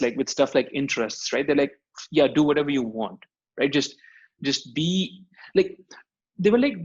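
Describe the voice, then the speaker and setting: A man with a Pakistani accent, a podcast guest on a video call, joking and talking in rapid mixed English and Urdu over a headset. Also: mid-range voice. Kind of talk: casual video-call interview. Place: room at home, indoors. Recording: dull lo-fi call audio.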